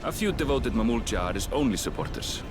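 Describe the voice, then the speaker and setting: A man's voice: medium pitch, very animated, music in the background, close to an everyday voice. An actor playing a composed male adviser explaining a royal succession in a game. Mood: calm. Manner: measured, explanatory. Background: game soundtrack.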